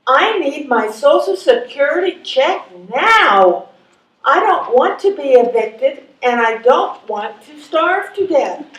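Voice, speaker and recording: A woman's voice: high-pitched, sing-song, slow pace, fairly bright tone, full character voice. A woman playing a wry, stubborn, hungry caller of seventy-three in a live readers theatre reading. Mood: exasperated. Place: live stage reading in a room. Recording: echoey room, distant microphone.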